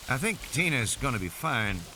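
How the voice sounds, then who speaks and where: A confident American field biologist in a novel, voiced by a male narrator. He is friendly and explains things with assurance, his pitch raised and moving freely over clear noise. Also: big pitch swings, normal speed, close to an everyday voice. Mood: assured, easygoing.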